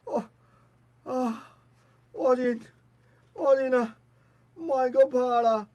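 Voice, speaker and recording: A woman's voice: high voice, mellow, muffled recording. A woman playing a frail, wrongly accused scholar who wails and pleads desperately in a radio drama. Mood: desperate, pleading. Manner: wailing, drawn-out pleas. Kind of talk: Taiwanese radio drama acting.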